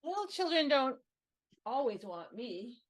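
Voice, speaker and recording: A woman in an improv scene playing a blunt toy bear that grandparents buy, giving advice in sudden loud bursts. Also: sits high, very animated, unhurried, a crowd in the background. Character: scratchy old-fashioned bear toy, blunt.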